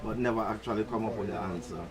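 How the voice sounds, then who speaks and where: A man's voice: fairly low pitch, fast, a loud crowd. An older man with a Jamaican accent, telling rambling stories in patois at a press conference. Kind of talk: relaxed storytelling.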